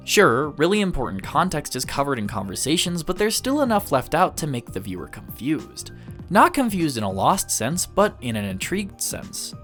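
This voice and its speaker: A man's voice: a little high, fairly bright tone. A man presenting a video essay as the host, speaking to viewers in a review style. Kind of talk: scripted review narration with occasional casual asides.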